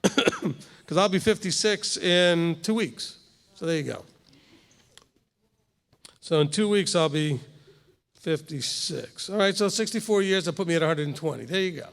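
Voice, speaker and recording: A man's voice: fairly high pitch, animated, unhurried, gravelly, pauses often, a bit bright, big-room sound. A man in his fifties, a rabbi leading a congregation's Torah study in a conversational way, often checking in with "okay?". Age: 50s. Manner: conversational, keeps asking "okay?". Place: congregation hall.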